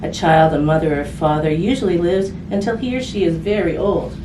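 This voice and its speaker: Lady doing kids storytime, lowish voice, chatty asides, noisy recording w/ echo. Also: fairly quick. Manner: conversational, simple phrasing aimed at children, with tag questions. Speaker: hospice volunteer reading a picture book to children. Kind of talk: read-aloud storytime with casual asides. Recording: roomy, distant mic with background noise.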